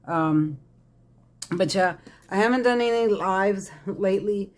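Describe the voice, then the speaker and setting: A raspy-voiced woman, chatting casually to viewers as a tarot reader, congested from a head cold. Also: mid-range voice, slow. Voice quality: raspy, crackly voice. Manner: chatty, addresses viewers as y'all. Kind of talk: casual psychic reading vlog.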